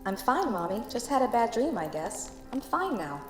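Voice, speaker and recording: A woman voicing a little boy, high-pitched and expressive, who sounds timid and pleading. His voice rises and falls a lot. Soft music plays faintly under him. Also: normal speed. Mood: eerily calm. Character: small frightened boy, later eerily calm.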